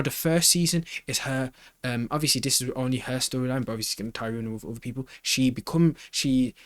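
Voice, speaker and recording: A man's voice: medium pitch, fairly bright tone, dry recording. A man hosting a reaction video, thinking aloud in a casual, slangy way and often repeating his phrases as he rambles.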